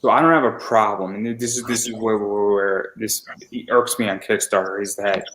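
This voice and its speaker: A man's voice: on the low side, fairly even intonation, normal speed, a bit bright, slight background noise. A man in his twenties talking casually as a podcaster, often stammering and repeating words as he starts sentences. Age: 20s. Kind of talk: casual conversational interview. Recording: roomy, echoey sound.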